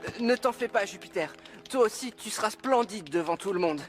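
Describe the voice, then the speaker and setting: A man playing a bumbling, soft-hearted fireman in a French-dubbed cartoon, fretful and apologetic. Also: high voice, lively intonation, brisk, faint music behind. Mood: flustered.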